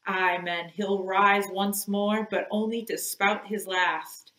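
A woman's voice: mid-range voice, steady tone, slow pace, a bit bright, roomy sound, poor audio. A woman voicing a fierce obsessed old captain. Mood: commanding. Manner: declaiming, grim and imperious. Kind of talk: audiobook reading.

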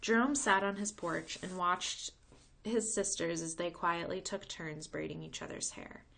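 A woman reading a children's chapter book aloud with expressive storybook narration. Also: lowish voice, a little slow, gravelly.

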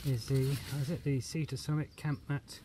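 An older Australian man chatting casually as he vlogs from a bush campsite, relaxed and rambling with fillers. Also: medium pitch, normal speed, very noisy. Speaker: outdoor gear vlogger. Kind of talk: casual vlog narration. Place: outdoors in bushland at a trail shelter. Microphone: action camera on the body or nearby. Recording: outdoor camera audio with clear bush ambience.

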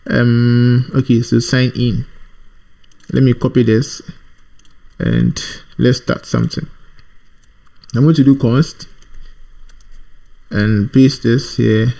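A man with a mid-pitched voice walks through a coding tutorial in an informal way. He thinks aloud as he works, halting between short phrases and often repeating himself. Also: fairly even intonation, vocal fry, dark tone. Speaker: programming tutorial presenter.

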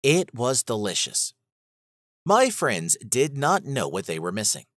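A man reading a scripted children's story aloud as narrator, in an expressive manner. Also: medium pitch, unhurried, no echo, clean studio sound.

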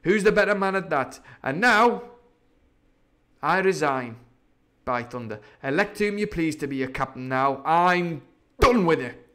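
A man voicing a swaggering, sardonic pirate sea cook in a storybook reading, with blustering, commanding talk and rough sailor's speech. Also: fairly high pitch, lively intonation, measured pace, pushed and bright, voice pushed up. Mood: defiant, indignant.

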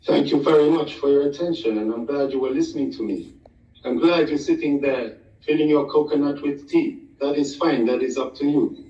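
A man delivers a slow, flowery speech like a sermon in a mellow tone. He sounds far from the mic, in an echoey room, and the audio is dull and lo-fi. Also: on the high side. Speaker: debate guest. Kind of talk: prepared speech in a debate.

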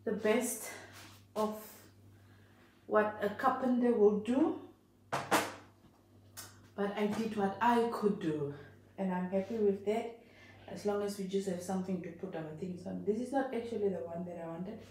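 A woman's voice: medium pitch, unhurried, pauses often, darkish tone, a little hiss or hum. A Namibian woman vlogging at home, chatting to her viewers in a rambling way while she assembles a rack and tidies up. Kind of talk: casual home vlog chat. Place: tiled bathroom at home. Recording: distant, very echoey home room.

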